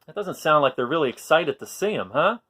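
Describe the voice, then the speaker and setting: A man reading aloud to young children, expressive, with chatty asides and questions put to his listeners. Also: on the high side, a little fast. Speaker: children's storytime reader.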